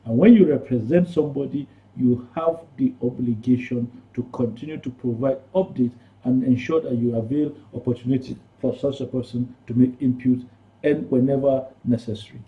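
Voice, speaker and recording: A man speaking as a guest in a radio discussion, explaining things in measured, formal wording. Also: medium pitch, narrow, tinny audio. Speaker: studio guest on good governance.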